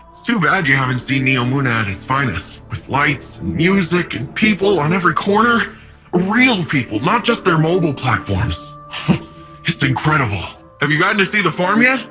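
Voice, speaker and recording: A man's voice: on the high side, bright, forceful tone. An actor playing a big, cheerful, cocky young male city defender in a video game, with a breezy, slangy, eager and friendly manner.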